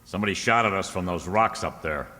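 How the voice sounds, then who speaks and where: An actor playing a stubborn, suspicious, wisecracking private detective in a radio drama, with a clipped, sardonic manner. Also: male voice, sits low, fast talker, pushed and bright. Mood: alert, wry. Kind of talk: old-time radio detective drama acting. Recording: echoey hall, long reverb.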